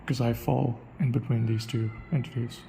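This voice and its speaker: A man's voice: mid-range voice, level tone, normal speed, gravelly, warm tone, slight background noise, rough recording. A man with an Indian English accent, thinking aloud in a reflective solo monologue, restarting his sentences often. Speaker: podcaster.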